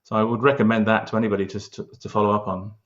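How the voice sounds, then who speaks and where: A man's voice: lowish voice, flat delivery, very fast, fairly bright tone, muffled recording. A man with a London accent, talking earnestly about local history in an interview, with frequent restarts and hesitations. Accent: London English. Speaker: local historian, award winner.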